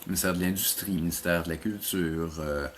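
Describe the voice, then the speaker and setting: Low-pitched Quebec man, explaining haltingly. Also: steady tone, slight background noise. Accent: Quebec French. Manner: hesitant, repeats words while explaining. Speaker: college animation teacher and programme coordinator, interview guest. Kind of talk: conversational interview answers.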